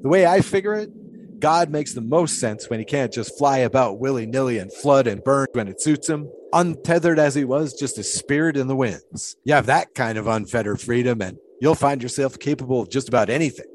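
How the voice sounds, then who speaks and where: A male actor plays an eccentric 1920s flagpole sitter in an audio drama, a folksy man who loves to philosophize. He speaks at a middle pitch in long, pious musings full of old-time idiom. Background ambience sits clearly behind him. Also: brisk, a little hiss or hum, natural voice. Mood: preachy, musing.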